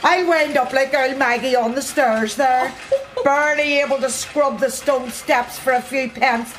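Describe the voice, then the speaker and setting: An actress playing a teasing, earthy mill worker who has been there thirty years, speaking in a radio drama. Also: female voice, high-pitched, measured pace, fairly bright tone. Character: middle-aged mill worker, bawdy and motherly.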